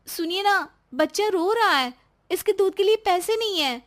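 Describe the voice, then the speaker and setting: A woman's voice: extremely high pitch, expressive, moderate pace, a bit bright, pitched above the performer's own voice. A woman voicing an earnest, determined young wife and mother in a story, polite and pleading. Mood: reproachful.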